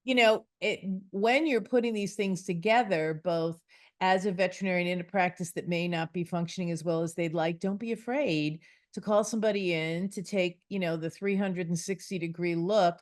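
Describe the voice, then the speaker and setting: A woman's voice: lowish voice, steady tone, moderate pace. A woman hosting a conversational interview, chatty and rambling, often reaching for food comparisons.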